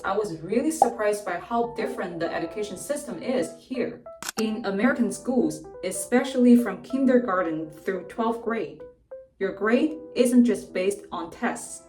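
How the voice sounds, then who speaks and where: A young adult woman from China telling personal stories in a clear, explanatory vlog narration. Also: medium pitch, a little mellow. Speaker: vlogger. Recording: roomy echo from a distance, faint music.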